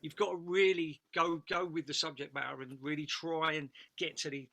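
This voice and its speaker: A man's voice: a little high, steady tone, normal speed, creaky, pushed and bright. A man giving casual feedback as a script panellist, speaking emphatically and repeating phrases for stress.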